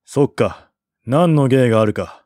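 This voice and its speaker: A man's voice: medium pitch, lively intonation, unhurried, darkish tone, no room sound, clean studio sound, lightly acted. A man voicing a scornful, irritable king in an audiobook, curt and haughty. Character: scornful, irritable male king, quick to dismiss. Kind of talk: audiobook character voice.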